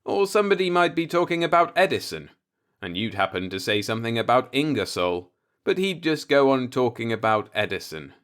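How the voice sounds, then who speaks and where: Male narrator as disillusioned professor, mid-pitched, brooding and rhetorical, bitter philosophical audiobook monologue. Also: big pitch swings, a little fast, fairly bright tone, smooth. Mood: bleak musing. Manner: musing, pointed, rhetorical monologue.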